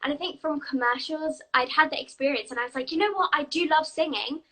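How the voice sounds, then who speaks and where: Bubbly British girl, high and bright. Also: fast talker. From England. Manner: bubbly, giggly, eager. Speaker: guest actress. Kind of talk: live video interview.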